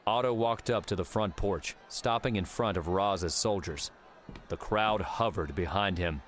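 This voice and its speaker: A man reads story narration in a low voice. It is an audiobook delivery, with clearly audible outdoor ambience behind him. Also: measured pace, slight background noise.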